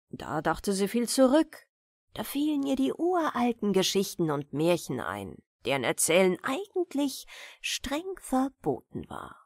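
Slow, expressive woman reading fairy tales. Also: medium pitch, slightly rough, no room sound, studio quality. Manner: deliberate, dramatic pauses between short phrases. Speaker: storyteller, audiobook reader. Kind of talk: expressive fairy-tale narration for bedtime listening.

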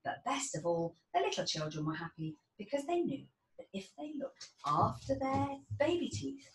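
A woman in her thirties reads a storybook to children with a lively, expressive delivery. She is in a roomy indoor space, a little way from the mic. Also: high voice, moderate pace, vocal fry. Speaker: storybook reader. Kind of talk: children's read-aloud. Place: small indoor children's playroom. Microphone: camera mic about a metre away. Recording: roomy, distant mic, faint background noise.

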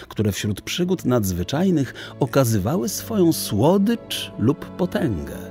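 A man's voice: mid-range voice, darkish tone. A man narrating a children's fantasy audiobook in a solemn, dramatic, literary storytelling manner.

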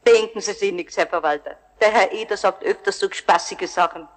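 An actress playing a plain-spoken building caretaker with a Bavarian accent in a children's radio play. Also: female voice, medium pitch, fairly quick, natural voice. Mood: conciliatory.

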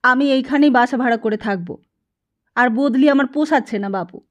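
A high-voiced, nagging wife from an audiobook tale, acted out by a woman reader. She is fed up and disdainful, scolding with emphasis. The recording is dull and lo-fi. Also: normal speed, lightly acted. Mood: nagging, exasperated.

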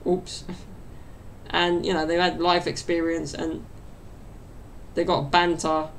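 Young man, high gravelly voice. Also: completely flat delivery, takes it slowly, fairly bright tone, a little hiss or hum, rough recording. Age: 20s. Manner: chatty and meandering, full of fillers. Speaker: podcast host. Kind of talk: rambling solo podcast chat. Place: small bedroom at home. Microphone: desk mic set off to the side.

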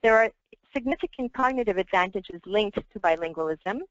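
A woman speech language pathologist presents a webinar, explaining points in a clear, measured manner. Her voice sits at an ordinary pitch. The audio is dry and slightly muffled. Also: lively intonation, no echo. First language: French.

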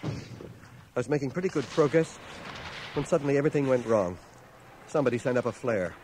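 A male actor plays a war veteran narrating his memories for a radio drama. His mid-pitched voice is measured and articulate, with a grave literary manner. The cassette recording is noisy, with battle effects behind him. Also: animated, close to an everyday voice. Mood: grave, tense. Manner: literary, sober storytelling.

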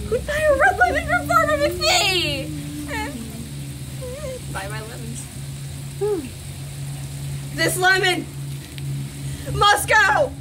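Woman, very high voice, slow theatrical storybook reading, outdoor noise. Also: lively intonation. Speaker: reader. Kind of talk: dramatic picture-book read-aloud. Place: outdoors on a garden bench.

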